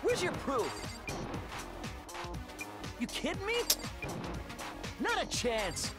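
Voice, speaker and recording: A man's voice: huge pitch swings, extremely slow, heavily acted. Shrieking, frantic hot-headed teenage boy. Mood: frantic, furious. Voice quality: shrill yelling. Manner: frantic, repetitive, defiant. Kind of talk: anime video game acting. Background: loud game music.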